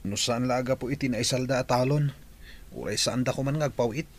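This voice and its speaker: A man's voice: medium pitch, a little fast, close to an everyday voice. A man playing the concerned grown son of a farming family in an Ilocano radio drama. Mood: concerned, questioning.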